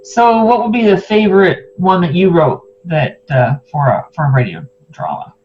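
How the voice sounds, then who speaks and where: A high-pitched man's voice on a dull recording with noticeable humming noise. He chats as an interviewer, tentative and conversational. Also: animated, moderate pace. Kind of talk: casual interview chat. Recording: muffled, noisy, humming connection.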